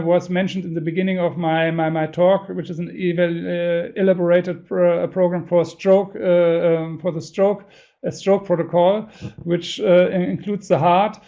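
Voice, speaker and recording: A dull, lo-fi webinar recording of a middle-aged man with a notably high, mellow voice. He speaks English with a non-native accent as a senior radiologist fielding questions. His delivery is halting and hesitant, often correcting himself. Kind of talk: answering audience questions in a medical webinar.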